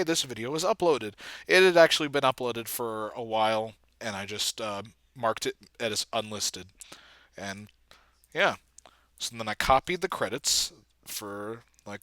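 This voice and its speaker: A man's voice: medium pitch, sharp tone, dry recording. A man giving casual, self-deprecating commentary as he works on his computer, with muttered asides. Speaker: video creator, comic dub editor. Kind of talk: casual screen-recording commentary. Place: indoors at a computer.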